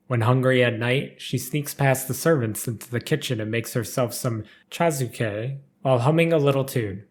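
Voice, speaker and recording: A man reading a scripted story aloud as narrator, with formal, careful delivery. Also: mid-range voice.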